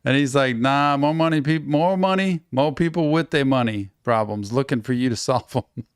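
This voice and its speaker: A man hosting a music reaction, slightly hoarse from losing his voice, eagerly repeating lines back and affirming them. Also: medium pitch, moderate pace, dry recording, clean studio sound. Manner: enthusiastic, repeats lyrics back and affirms with yeps. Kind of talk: casual music reaction commentary.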